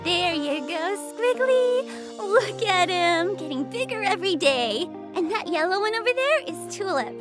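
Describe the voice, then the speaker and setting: A lonely young girl from a horror visual novel, sweet and timid. Her voice is very high and unhurried, soft and breathy with a childlike wistfulness. Music plays behind her. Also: very animated, exaggerated voice. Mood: cheerful. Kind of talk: visual novel game voice acting.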